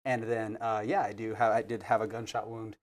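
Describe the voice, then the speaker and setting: A man's voice: on the low side, little pitch movement, brisk. Playful man hosting a podcast chat. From New Mexico. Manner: playful, joking, thinks aloud. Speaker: podcast host. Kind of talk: casual interview chat.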